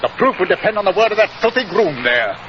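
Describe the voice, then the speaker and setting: High-voiced, sneering millionaire villain. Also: male voice, very animated, fast. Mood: scornful. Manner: sneering, haughty. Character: gloating, scornful millionaire villain, arrogant. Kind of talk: 1940s radio acting. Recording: muffled, noisy 1940s radio recording.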